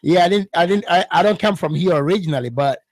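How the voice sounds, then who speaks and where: A man's voice: sits high, fast talker, dry recording, clean studio sound. A man from Ghana with a Ghanaian accent, a musician talking casually as a guest on a radio show, who often repeats words as he starts a thought. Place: radio studio.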